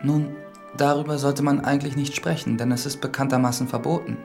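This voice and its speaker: Actor as a gravelly, reserved priest. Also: male voice, mid-range voice, fairly even intonation, brisk, a little mellow, soft background music, close to an everyday voice. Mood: guarded, grave. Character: reserved, educated priest visiting a village from town. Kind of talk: radio drama dialogue.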